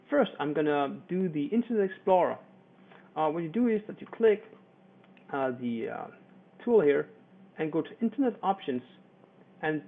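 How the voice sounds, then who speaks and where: A Danish-accented man presents an informal software walkthrough with a slightly high voice. He explains things haltingly and hesitantly. The sound is narrow and lo-fi, like a poor line. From Denmark. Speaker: product reviewer and presenter. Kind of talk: informal screencast tutorial and promotional presentation. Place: voice-over for screen recording.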